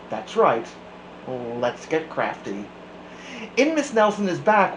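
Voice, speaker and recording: A man hosting a children's story time, reading aloud and chatting expressively. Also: on the high side. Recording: echoey room, faint background noise.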